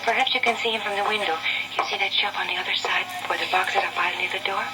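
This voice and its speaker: An actress playing an earnest, restless young receptionist in a 1950s radio drama, speaking in careful, formal phrases. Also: female voice, medium pitch, steady tone, fast talker, lightly acted, poor audio. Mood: curious, wary. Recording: old 1950s radio recording, music behind.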